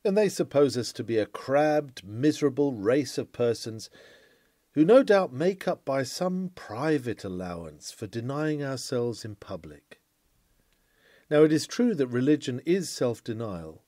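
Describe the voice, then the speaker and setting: A man reading an old sermon aloud in a formal, declamatory style, with emphatic phrasing and deliberate pauses. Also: mid-range voice, sing-song, warm tone, no echo, studio quality. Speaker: reader of a historic sermon. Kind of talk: oratorical sermon read aloud.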